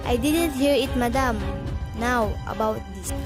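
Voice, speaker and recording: Woman acting, high voice, formal hospital receptionist, polite, detached, unruffled, radio play, faint music behind. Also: a little slow, lightly acted.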